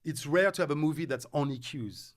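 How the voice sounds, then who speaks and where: A middle-aged man with a French accent, a DJ talking as a guest on a casual podcast, animated and restarting his words as he tells stories. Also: on the high side, fairly quick. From France.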